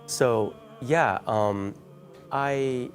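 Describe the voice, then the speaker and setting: A man's voice: medium pitch, very slow, soft background music. A man from the Philippines, Tagalog his first language, chatting as a guest singer in an interview, with many fillers and restarts. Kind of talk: casual interview chat.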